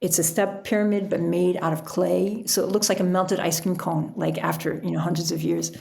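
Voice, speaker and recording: A middle-aged woman telling stories casually as an interview guest, in an animated, chatty way. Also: fairly low pitch, fairly quick, vocal fry. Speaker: podcast guest, author and sailor.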